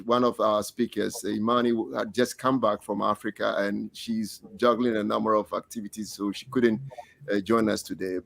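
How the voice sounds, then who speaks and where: A man speaking as a panelist in a measured, halting way, pausing often, over a video call. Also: fairly low pitch, creaky. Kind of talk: casual panel discussion.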